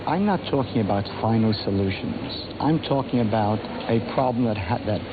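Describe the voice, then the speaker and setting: A man with a Brooklyn accent answering interview questions in a blunt, steady, declarative way. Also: lowish voice, normal speed. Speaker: interviewee in archive clip. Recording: tinny old archive clip with noise.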